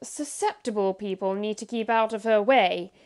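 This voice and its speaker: A woman playing a breezy, chummy gentleman cricketer in a 1930s radio drama. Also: mid-range voice, moderate pace, lightly acted.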